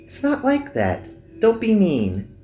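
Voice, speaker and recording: A man voicing an earnest, gentle young magical girl in a visual novel, pleading and sincere. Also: high-pitched, wildly swooping pitch, slow, reverberant, faint music behind, voice pushed up, exaggerated voice. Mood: pouting. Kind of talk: visual novel character dubbing.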